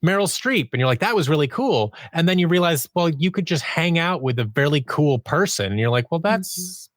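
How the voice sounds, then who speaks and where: A man's voice: fairly high pitch, animated, brisk, no room sound, clean studio sound. A man who is an audio drama writer and actor, chatting casually as an interview guest and acting out little asides as he talks.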